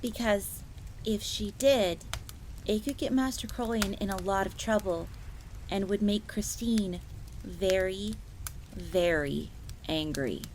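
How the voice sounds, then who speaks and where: A woman's voice: medium pitch, slow, a little mellow, lightly acted. A woman voicing a young, earnest, secretive fox maid in a scripted fantasy audio roleplay, confiding in the listener. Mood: hesitant, earnest. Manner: speaks of herself in third person, confiding. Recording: crackling fire noise behind voice. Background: crackling fire.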